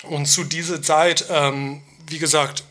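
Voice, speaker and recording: Bright-voiced American man, casual and halting. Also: a little high, flat delivery. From New York, United States. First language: English. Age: 40s. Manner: hesitant non-native German with English words mixed in. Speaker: podcast guest, tattoo artist. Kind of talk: casual interview storytelling.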